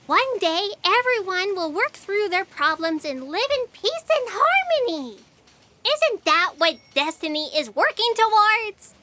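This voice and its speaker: A woman acting as an earnest, idealistic female fact checker in a comic radio play. The voice is very high and bright and swings in pitch as it questions everything. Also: very animated, a little slow, slight background noise, exaggerated voice. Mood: earnest protest. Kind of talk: scripted audio comedy drama acting.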